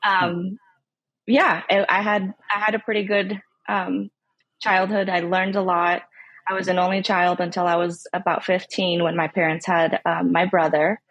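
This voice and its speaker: A woman in her thirties from Southern California, a podcast guest who speaks in a warm, reflective way with many ums. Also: fairly low pitch, no room sound, poor audio. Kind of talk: conversational interview.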